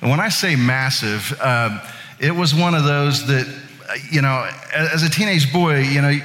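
Pastor guy from indiana, late 30s, crisp bright voice, long church echo, chatty and self-deprecating. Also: mid-range voice, moderate pace, a little hiss or hum. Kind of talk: casual storytelling sermon. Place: church sanctuary. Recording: church hall with long reverb.

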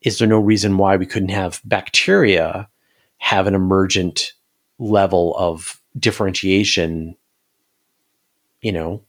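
A man's voice: low-pitched, steady tone, unhurried. A man in a casual, speculative science discussion, thinking aloud and often rephrasing his points as he goes. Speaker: science interviewer and podcast guest.

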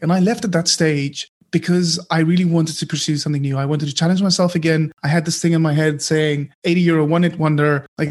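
A South African man whose first language is Afrikaans, speaking as a podcast guest in an open, reflective way with many fillers. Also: a little high. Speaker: guest entrepreneur. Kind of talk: podcast interview.

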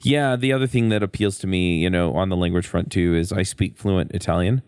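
A male podcast host with a fairly low voice talks casually and conversationally. His recording is very dry, with no room sound. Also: fairly even intonation, a little fast, darkish tone, clear, resonant tone, no room sound. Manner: conversational, informal.

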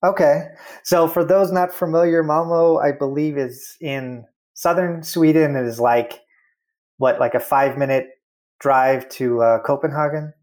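A man hosting an interview segment, chatty and friendly, full of fillers and quick follow-up questions. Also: a little high, steady tone, a little mellow. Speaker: interview host. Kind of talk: casual interview.

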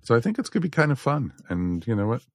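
A man's voice: mid-range voice, big pitch swings, fast, no echo, studio quality. An American man from the Southeast co-hosting a podcast, chatting casually and addressing listeners in a folksy way. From southeastern United States. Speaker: podcast co-host. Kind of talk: casual chat.

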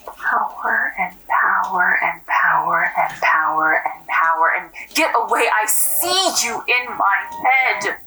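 Woman voicing an entranced young woman, breathy whisper, slow, bright tone, faint music behind. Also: mid-range voice, expressive, low-quality recording. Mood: trance whisper. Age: young adult. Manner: breathy whispering, repeating words in a trance. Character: young woman possessed in a nightmare, dazed and eerie. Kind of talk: horror audio drama acting.

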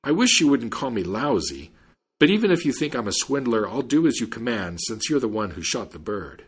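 A man voicing an excitable, aggrieved bearded man in a storybook tale, exclaiming and lamenting. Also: mid-range voice, brisk, husky. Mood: meekly wounded.

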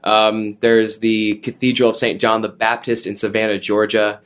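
A young male student chatting casually, relaxed and hesitant, with lots of fillers. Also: on the low side, moderate pace. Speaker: student panelist. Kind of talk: casual chat.

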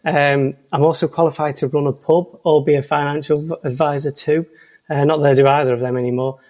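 A man's voice: fairly high pitch, little pitch movement, a little fast, darkish tone. Scouse guy on a podcast, lots of ums and false starts, chatty. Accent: Liverpool. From Liverpool, England. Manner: hesitant, many ums and restarts. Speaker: podcast guest, senior consultant. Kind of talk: casual interview chat.

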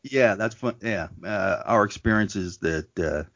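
A man hosting an interview podcast, talking in a relaxed, laid-back way with plenty of fillers. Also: fairly low pitch, unhurried, highs cut off. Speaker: podcast host.

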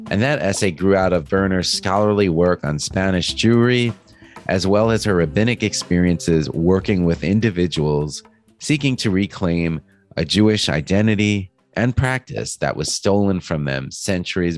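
Hoarse guy w a cold, deep low voice, earnest podcast host in his home studio, stops n restarts a lot. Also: dry recording. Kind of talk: podcast introduction.

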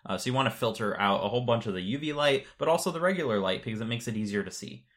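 A man's voice: mid-range voice, expressive, very fast, a bit bright. A man co-hosting a podcast, explaining things in an informal, matter-of-fact way in casual conversation. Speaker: podcast co-host.

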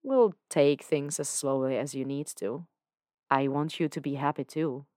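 A woman voicing a suave male devil who has become God, speaking in a dry, unhurried, formal way. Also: sits low, steady tone, mellow, no room sound. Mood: tender.

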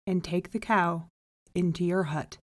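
A woman voicing a wise male village rabbi in a storybook, speaking calmly and deliberately with firm authority. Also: lowish voice. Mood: measured questioning. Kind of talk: storybook read-aloud character.

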